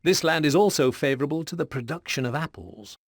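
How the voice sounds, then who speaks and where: A man delivering measured, serious scripted narration for video game mission briefings. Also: fairly high pitch, expressive, dry recording, studio quality. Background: music with plucked guitar.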